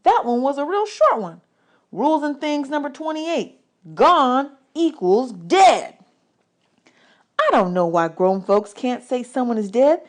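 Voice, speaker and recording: A woman's voice: high-pitched, sing-song, measured pace, voice pushed up. A woman voicing a polite, nervous, imaginative young orphan boy with a folksy, earnest manner in a children's audiobook. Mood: nervous, animated.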